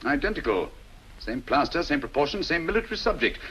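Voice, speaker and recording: Bright-voiced, incisive British detective. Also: male voice, on the high side, sing-song, fast, full character voice. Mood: keen, brisk. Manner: crisp, emphatic, deductive. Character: brilliant, incisive male detective, commanding and dryly witty. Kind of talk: old radio and film drama acting.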